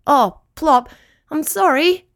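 A woman voicing a young male dog in a storybook, earnest and a little higher than her own voice. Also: sing-song, moderate pace. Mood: worried. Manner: earnest, slightly raised pitch. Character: young male dog, eager and kind-hearted.

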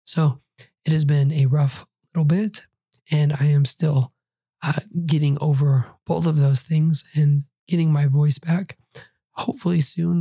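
Middle-aged male podcast host, hoarse from a lingering cold; husky, dark tone; casual wry delivery. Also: a little high, no room sound. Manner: conversational with wry asides. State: hoarse, recovering from a cold and flu. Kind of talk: solo podcast monologue.

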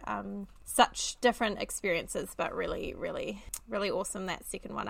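A woman's voice: mid-range voice, creaky, a bit bright, no room sound. A woman in her late twenties with a New Zealand accent, co-hosting a casual parenting podcast and chatting with friends, laughing at herself often. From Australia. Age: 20s. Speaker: podcast co-host. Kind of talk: casual chat.

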